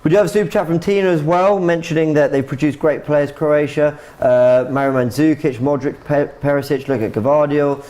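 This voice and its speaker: A man hosting a live football stream, with a mellow, warm tone. He keeps things moving steadily, taking predictions and reading out viewer messages. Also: fairly high pitch, brisk, hardly any pauses. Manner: steady, moves the show along. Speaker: host.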